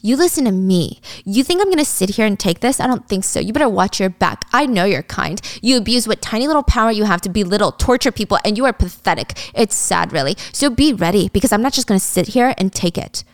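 A woman's voice: mid-range voice, expressive, quick pace, hardly any pauses, no room sound, lightly acted. A woman voicing a defiant young businesswoman in a story, confrontational and cutting. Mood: threatening.

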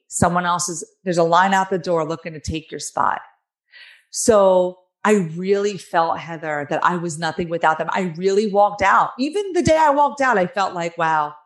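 Middle-aged woman guest, Jersey accent, raspy and nasal, low-ish voice, candid and emphatic. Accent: New Jersey. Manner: earnest, emphatic, with many fillers. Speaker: guest author. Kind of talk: candid interview storytelling.

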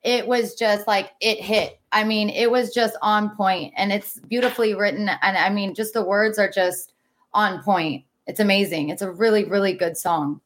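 A woman's voice: mid-range voice, fairly even intonation, normal speed. A woman co-hosting a podcast in a casual, conversational way. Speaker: podcast co-host. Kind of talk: casual chat.

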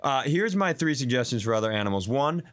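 A man co-hosting a comedy podcast in casual, profane banter, telling things in an animated way. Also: medium pitch, quick pace.

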